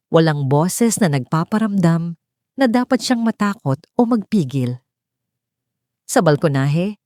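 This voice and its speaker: Female narrator for scripted drama. Low register, soft-edged mellow tone. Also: no echo, clean studio sound.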